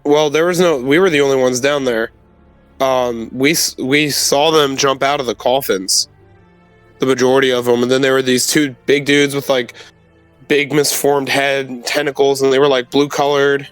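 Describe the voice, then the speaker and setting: A man playing a tabletop game, answering casually and haltingly, rephrasing as he goes. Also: medium pitch, steady tone, smooth, no echo, faint music behind. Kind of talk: casual in-character game chat.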